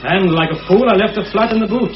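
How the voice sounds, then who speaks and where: An actor playing a fussy, impatient, exasperated husband in a radio drama. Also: male voice, high voice, quick pace, music in the background, lightly acted. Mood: testy, hurried. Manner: testy, exasperated. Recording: dull old radio recording.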